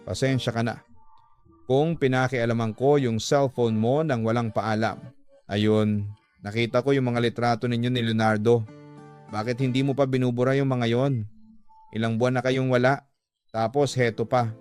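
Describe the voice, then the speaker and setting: A jealous young lover in a radio drama, played by a male narrator, hurt and reproachful as he questions his girlfriend. His voice sits at a middling pitch over a musical bed. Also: steady tone, normal speed, darkish tone, clean tone, faint music behind, lightly acted.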